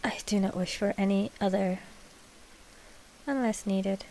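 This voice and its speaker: A woman's voice: medium pitch, fairly even intonation, unhurried, mellow, slight background noise, natural voice. Casting: actress as female vampire royal for audio drama. Proud, possessive yet frank, mid-range voice, precise formal diction. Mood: imperious, protective. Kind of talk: scripted audio roleplay drama.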